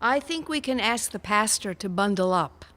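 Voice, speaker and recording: A woman playing a radio show assistant who reads donor messages in a deliberate, deadpan way, in a reverberant hall. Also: medium pitch, lightly acted. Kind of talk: radio theater reading. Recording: echoey hall with long reverb.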